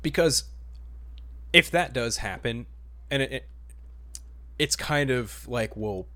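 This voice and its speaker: A man's voice: medium pitch, lively intonation, slow pace, bright, forceful tone. A man hosting a podcast in a casual, conversational style. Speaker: podcast host. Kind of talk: casual chat about superhero films.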